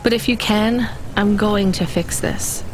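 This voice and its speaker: A woman's voice: mid-range voice, fairly even intonation, unhurried, slight background noise, natural voice, poor audio. A woman playing an anxious, headstrong but kind young delivery driver in a video game. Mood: regretful, determined.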